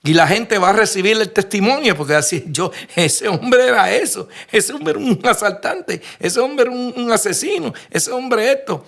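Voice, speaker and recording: Male Puerto Rican pastor, slightly high voice, earnest sermon storyteller who stops and restarts often. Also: expressive, normal speed, creaky, hardly any pauses, fairly bright tone. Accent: Puerto Rican Spanish. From Puerto Rico. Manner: earnest storytelling, frequent restarts and rhetorical questions. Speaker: preacher.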